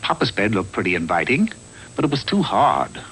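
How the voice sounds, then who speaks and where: A man's voice: fairly low pitch, sing-song, normal speed, a bit bright, slight background noise, poor audio. A man narrating a comic fairy tale in a wry, theatrical storyteller's manner, from a script.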